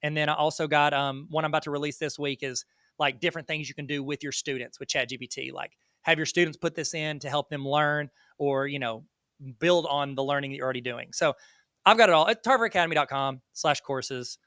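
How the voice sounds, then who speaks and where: A man's voice: on the high side, steady tone, brisk, bright, forceful tone, no room sound, clean studio sound. A man with a Southern US accent, a guest on an interview, talking in a rambling, joking, self-deprecating way. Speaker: guest educator. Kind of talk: casual interview chat.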